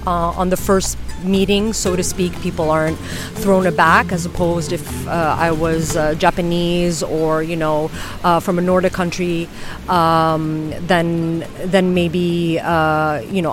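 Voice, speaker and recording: Woman guest from the US, lowish voice, hesitant and reflective, clear music bed underneath. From United States. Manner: thoughtful, many ums and uhs. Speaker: flamenco dancer, guest. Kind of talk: casual interview answers. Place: outdoors on a beach.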